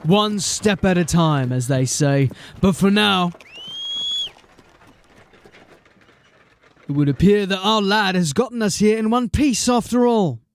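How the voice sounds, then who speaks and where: An actor playing a wary, blunt city watch officer with a dry, guarded manner in a fantasy audio drama. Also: male voice, high-pitched, normal speed. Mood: determined.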